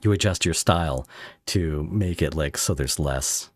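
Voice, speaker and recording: A man hosting a casual interview, asking rambling questions in a halting way. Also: very deep voice, no echo. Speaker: podcast host interviewing a creator.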